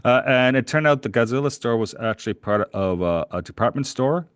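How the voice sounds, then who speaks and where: A middle-aged man from Canada, co-hosting a podcast and telling animated, rambling travel stories with frequent chuckles. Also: medium pitch, a little fast, clean tone, no room sound. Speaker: podcast co-host. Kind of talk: casual chat, travel storytelling.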